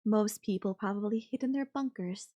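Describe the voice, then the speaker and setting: A woman voicing a whimsical, flippant goddess in an ASMR roleplay, musing airily with long dramatic pauses. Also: medium pitch, mellow, natural voice. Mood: playful, friendly. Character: whimsical, airy, flippant yandere goddess watching Earth die. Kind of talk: ASMR audio roleplay acting.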